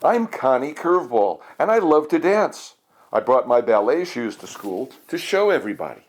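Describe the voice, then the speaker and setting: A cheerful, kind little curveball girl from a storybook, played by a man. The delivery is upbeat and supportive, with lively swings in pitch. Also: moderate pace. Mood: enthusiastic.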